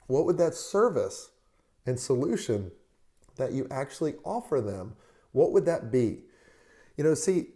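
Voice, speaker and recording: Male podcast host, mid pitch, warm low tone, hoarse from a lost voice, energetic coach talking straight to camera. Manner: upbeat motivational coaching, talks straight to listeners. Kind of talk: solo advice podcast.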